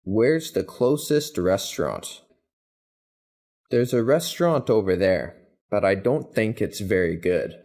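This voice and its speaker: A man reading English practice questions and answers, each said twice in an even, deliberate way. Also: fairly low pitch. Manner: even, deliberate, carefully spaced delivery. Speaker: English teacher. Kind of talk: slow scripted phrase drills, each said twice.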